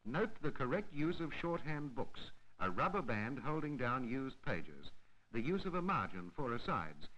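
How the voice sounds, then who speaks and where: A man delivers scripted narration in the style of a 1960s educational film. His voice sits at a medium pitch with a bright, forceful edge. He is formal and instructional, with clearly varied, lively intonation. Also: moderate pace, muffled recording.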